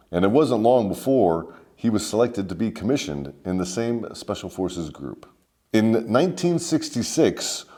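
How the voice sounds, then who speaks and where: A man's voice: on the low side, darkish tone. A man hosting a scripted comedic military history story, mock-formal and sardonic with salty slang. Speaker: host and storyteller.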